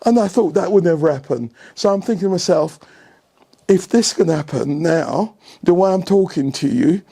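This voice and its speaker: Older male, slightly high voice, senior coach interviewee, anecdotal and questioning delivery. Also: moderate pace, creaky, breathy edge. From England. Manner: animated anecdotes with rhetorical questions and repeated checks for agreement. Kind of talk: storytelling in an interview.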